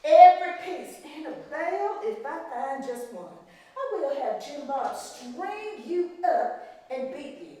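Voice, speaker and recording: A woman's voice: sits high, sing-song, slow pace, mellow, heavily acted. A woman playing a cruel, haughty plantation mistress in a cold, clipped, menacing way, in a dramatic storytelling performance. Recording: echoey hall, mic far off.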